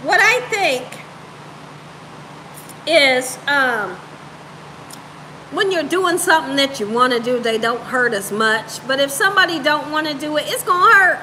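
A woman's voice: high voice, expressive, measured pace, long pauses, pushed and bright, slight background noise, poor audio. A woman around her thirties or forties chatting casually and playfully with live viewers as host, repeating phrases for emphasis. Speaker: cooking show host on a livestream. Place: home room, indoors.

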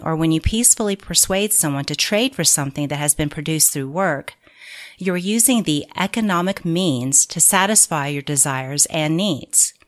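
A woman reading scripted audiobook narration of a political manual in a measured, deliberate, clearly phrased way. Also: deep voice.

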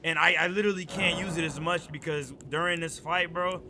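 A young American man giving casual, excitable let's-play commentary, slangy and repeating his phrases. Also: a little high, fairly quick, background noise. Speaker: gaming YouTuber. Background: game music.

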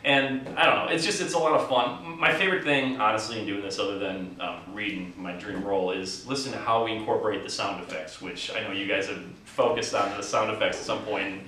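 A man's voice: lowish voice, quick pace, hardly any pauses, pushed and bright, far from the mic. An American man in his thirties gives a casual interview in a very echoey room. He sits away from the mic, with faint noise behind him, and talks in a joking, mock-boastful way. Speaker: actor and writer being interviewed. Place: indoor theatre set.

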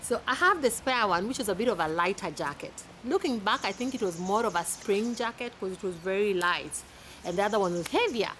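A woman's voice: medium pitch, a little hiss or hum, rough recording. Chatty Kenyan woman vlogging outdoors. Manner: chatty, animated, laughs often, with repeated restarts. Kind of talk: casual advice vlog. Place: outdoors in a green garden. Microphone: camera at arm's length.

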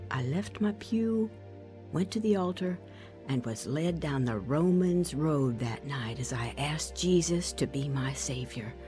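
A woman's voice: low voice, dark tone. A woman playing a grown woman reflectively and frankly telling her life story as radio drama narration. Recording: music under the voice.

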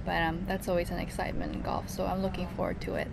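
A woman in her twenties from Korea, a golfer answering interview questions politely and softly, with light laughter, on a lawn at a golf course. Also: fairly low pitch, sing-song, fast talker, vocal fry, noisy background. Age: 20s. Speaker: professional golfer, guest. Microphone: handheld mic held by interviewer.

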